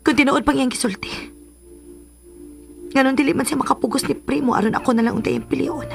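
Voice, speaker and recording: A woman's voice: sits high, fairly even intonation, fast talker, gravelly, faint music behind. A Filipino actress playing a bitter, sarcastic, lovelorn woman in a radio drama, drawing out her lines with scorn. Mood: bitter, mocking. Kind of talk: Philippine radio drama acting.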